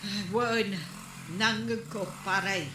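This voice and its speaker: Woman doing a fed-up neighbor, scolding, bright voice, echoey room. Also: mid-range voice, steady tone, extremely slow, a little hiss or hum. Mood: complaining. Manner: complaining, scolding. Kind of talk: radio drama acting.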